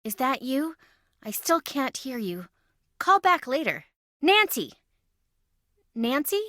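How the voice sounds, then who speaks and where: Sassy young best friend type from a video game, teasing and wisecracking, crisp bright voice, really animated. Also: female voice, sits high, slow, no room sound, studio quality, heavily acted. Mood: worried. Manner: teasing, sarcastic, dryly exaggerated.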